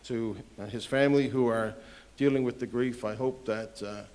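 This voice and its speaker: A man's voice: mid-range voice, fairly even intonation, moderate pace, a little mellow. Heartfelt man giving a tribute. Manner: heartfelt, hesitant with fillers. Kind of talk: spoken tribute.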